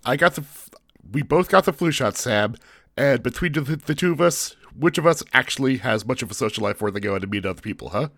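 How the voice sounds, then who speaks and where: A man's voice: mid-range voice, animated, brisk, a bit bright, no room sound. A man co-hosts a podcast, his nose stuffed up from the flu. He rambles and jokes, cutting himself off mid-sentence. The sound is close and dry, with no room around it. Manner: rambling, self-interrupting, jokey. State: congested from flu. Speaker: podcast co-host. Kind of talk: casual chat.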